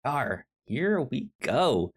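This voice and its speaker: Man, podcast host, animated intonation, mid-pitched, halting and repetitive speech, casual review chat. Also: breathy edge. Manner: halting, stammering with repeated words.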